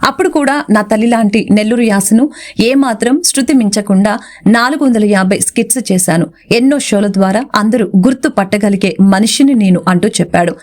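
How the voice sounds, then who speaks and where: A woman's voice: mid-range voice, a little fast, darkish tone. A woman reading a scripted celebrity news report as a narrator.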